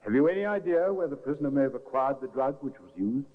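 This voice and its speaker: An actor playing a prosecuting barrister who questions witnesses formally in a 1950s radio drama. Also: male voice, medium pitch, lively intonation, fast, close to an everyday voice. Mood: formal questioning. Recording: tinny old radio broadcast with reverb.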